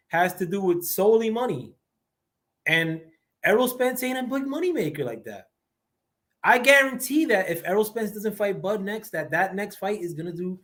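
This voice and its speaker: A man with a squeaky voice ranting casually and profanely on his live stream, rambling with many fillers. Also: a bit bright. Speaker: livestream host. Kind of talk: casual boxing rant.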